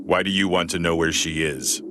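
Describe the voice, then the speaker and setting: A man playing a big, stoic, loyal male android in an anime game, with a grave and measured delivery. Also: low-pitched, background noise, close to an everyday voice. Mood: guarded. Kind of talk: dubbed anime game acting. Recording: loud music behind the voice.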